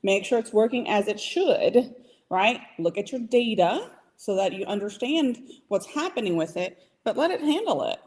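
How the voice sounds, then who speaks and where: A woman's voice: mid-range voice, sing-song, normal speed, darkish tone. A woman business consultant giving advice in a casual podcast chat, emphatic and repeating points for stress. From Puerto Rican heritage, from the US Northeast.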